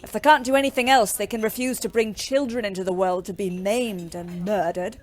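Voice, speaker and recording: An actress playing a bitter, outspoken young ambulance driver in a wartime audio play, scathing and emphatic. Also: female voice, medium pitch, fairly even intonation, a little fast, natural voice. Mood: angry, scathing. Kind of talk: audio drama acting.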